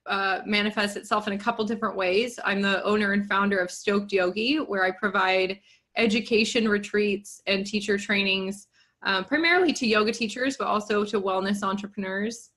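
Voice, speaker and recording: Woman in her thirties, podcast guest, candid, chatty, laughs easily, mid-pitched voice. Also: fairly even intonation, normal speed, fairly bright tone. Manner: candid, chatty, self-deprecating, laughs often. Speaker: yoga business coach and guest. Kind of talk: podcast interview.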